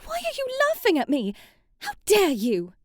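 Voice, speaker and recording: A woman's voice: sits high, huge pitch swings, moderate pace, a little mellow, no echo, studio quality. A woman playing a sheltered, romantic young princess in an ASMR audio drama, with flowery, theatrical storybook phrasing. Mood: shocked, indignant. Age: young adult. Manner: theatrical, flowery, prim storybook phrasing, sighing, often half-whispering.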